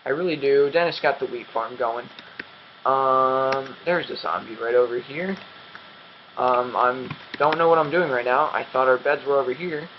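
A man's voice: medium pitch, moderate pace, dull audio, a little hiss or hum. A young man hosting a game commentary, rambling and self-mocking with lots of ums, his voice dry and cracking. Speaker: gaming channel host.

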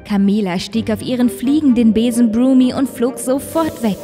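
A woman narrating a children's fairy-tale cartoon in a measured, clear way. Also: fairly high pitch, long reverb tail, music in the background.

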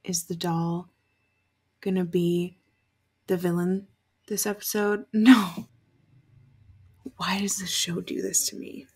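A woman's voice: fairly low pitch, level tone, takes it slowly, long pauses, a little mellow, slightly rough. A young woman giving casual, sweary reaction commentary in a room at home. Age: young adult. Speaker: reaction video host. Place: small furnished room at home, indoors.

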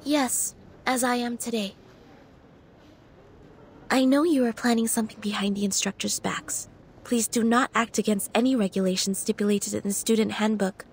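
This is a woman playing a dutiful young school monitor in a game. Her voice is a little high, prim and stern, every sentence formal. There is faint ambient noise behind her. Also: fairly even intonation, normal speed, close to an everyday voice. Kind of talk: game cutscene acting.

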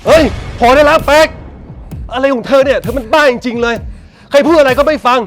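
A man's voice: very high voice, faint music behind. An actor playing an exasperated husband who scolds his wife in a rush before leaving for work. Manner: fast, impatient scolding. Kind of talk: Thai melodrama acting.

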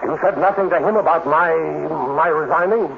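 A man's voice: a little high, a little fast, lightly acted. A man playing an irritable, self-important robotics director in a 1950s radio drama, pompous and demanding. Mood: gruff, demanding. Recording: tinny, noisy 1950s radio recording.

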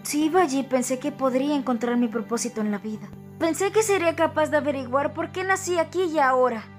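A woman's voice: high voice, fast, faint music behind, lightly acted. A woman with a Latin American Spanish accent playing an earnest, idealistic young police inspector in an anime fan dub, speaking politely. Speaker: amateur fandub voice actor.

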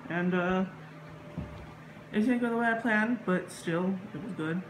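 A man's voice: high-pitched, measured pace, background noise. A young man chatting casually into his phone camera for a vlog, mumbling and trailing off, tired. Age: young adult. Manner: mumbly, trailing off, lots of ums. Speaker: vlogger. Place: car, mall, home. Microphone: phone at arm's length.

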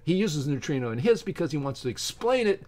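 A middle-aged man reads aloud haltingly from a book and breaks off to give his opinionated, informal commentary as a channel host. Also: a little high, big pitch swings, a little mellow. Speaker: YouTube science channel host. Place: small home office with bookshelves, indoors.

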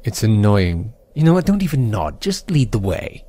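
A man playing a gleefully sadistic pony airship commander in an audio drama, theatrical and full of drawn-out, mocking politeness. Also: lowish voice, big pitch swings, dark tone. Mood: cold, irritated.

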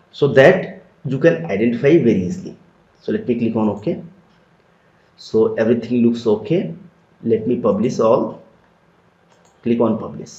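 A man giving a steady step-by-step screen-recorded software tutorial, repeating phrases as he explains. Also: mid-range voice. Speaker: tutorial instructor. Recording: dull lo-fi roomy sound.

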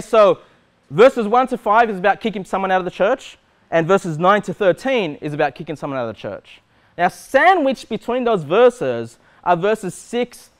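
A man, preaching a sermon as pastor in a church hall, asking questions and gesturing widely. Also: high-pitched. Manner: animated preaching with wide gestures, rhetorical questions. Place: church hall with metal walls.

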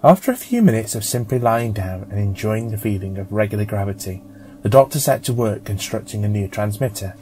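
A man narrating a scripted story as an audiobook reader, with a measured storytelling manner. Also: on the low side, expressive, dark tone, faint music behind.

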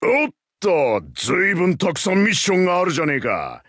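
A man's voice: high voice, sing-song, moderate pace, fairly bright tone, slightly rough, no echo, studio quality, heavily acted. A man voicing a cheerful, hot-blooded masked wrestler hero in a mobile game, with brash, slangy delivery. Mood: upbeat, eager. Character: boisterous, cheerful tiger-masked wrestler hero in Santa outfit. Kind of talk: anime game character voice acting.

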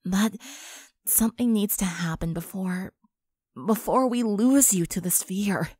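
A woman's voice: mid-range voice, measured pace, slightly rough, dry recording. Earnest, supportive young woman friend played by an actress, close and dry. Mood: earnest, pleading. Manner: gentle, earnest, reassuring. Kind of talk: fan audio drama acting.

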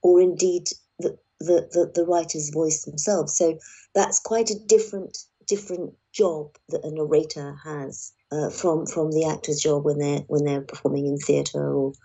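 A woman with a low, husky voice speaks as an actor and narrator in a podcast conversation. Her tone is mellow and the recording is slightly dull. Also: steady tone, normal speed. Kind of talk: podcast conversation with read-aloud passages.

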